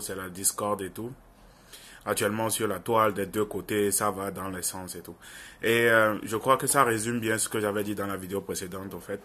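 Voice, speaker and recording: Husky young man with Francophone African accent. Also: lowish voice, pushed and bright. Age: young adult. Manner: earnest, insistent, repeats phrases for emphasis. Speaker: online video commentator. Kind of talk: informal opinion monologue to viewers.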